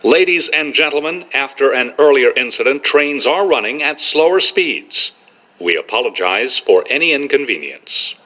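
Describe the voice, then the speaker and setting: Bright-voiced man reading scripted transit announcements. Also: mid-range voice, expressive, moderate pace, rough recording. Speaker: automated subway announcer. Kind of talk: scripted recorded public announcement. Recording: faint train noise behind the announcement.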